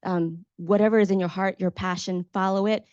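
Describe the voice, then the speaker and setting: A woman's voice: medium pitch, fairly even intonation, fairly quick, darkish tone, no room sound. A woman with an American accent and a mid-range voice speaks conversationally as a moderator. She is earnest and emphatic, in a dry recording that is slightly muffled. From Maryland, United States. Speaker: panel moderator. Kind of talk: conversational personal storytelling to an audience.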